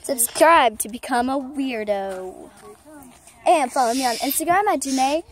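A young vlog host chatting casually, filming on a handheld phone at an outdoor campground. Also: female voice, high-pitched, expressive, measured pace.

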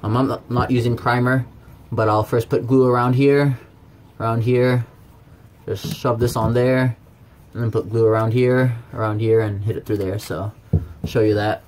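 A man with an average-pitched voice presents a casual how-to. He explains each step plainly and informally while he works. It is captured on a handheld camera, with noticeable ambient noise around him. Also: little pitch movement, normal speed, darkish tone. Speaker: presenter of DIY how-to videos. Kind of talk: casual hands-on tutorial narration. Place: around a backyard tub and a workshop.

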